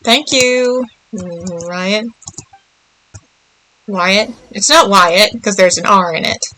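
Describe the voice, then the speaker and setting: A woman's voice: mid-range voice, very slow, sharp tone, rough recording. A woman chatting casually as she streams a game, rambling and thinking aloud. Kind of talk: casual livestream chat while playing.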